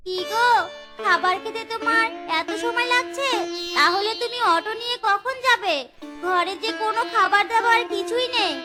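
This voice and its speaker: A nagging cartoon fox wife with an extremely high voice. She whines and pities herself with heavy sarcasm. Music runs behind the voice. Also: normal speed. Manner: whining, sarcastic. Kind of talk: children's cartoon voice acting.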